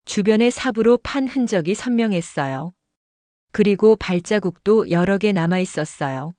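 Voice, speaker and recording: A woman narrating an audio drama in the first person, in a calm storytelling manner, recorded close. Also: medium pitch, moderate pace, no echo.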